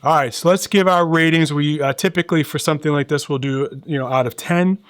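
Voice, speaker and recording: A middle-aged man hosting a casual, conversational product review, explaining things in a measured way and speaking to viewers. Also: on the high side, no room sound. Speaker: gadget reviewer and host.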